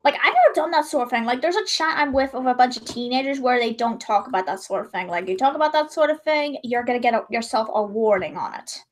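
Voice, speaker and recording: A woman's voice: a little high, expressive, fast, hardly any pauses. A young woman chatting casually while drawing on a livestream, rambling and correcting herself, her voice a little strained. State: voice gone high and strained.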